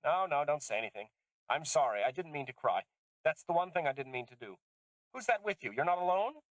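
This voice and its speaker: A man voicing an older woman geneticist, bitter and intense, speaking emphatically in a raised pitch. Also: very animated, fairly quick, dry recording, rough recording. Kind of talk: audiobook character voice.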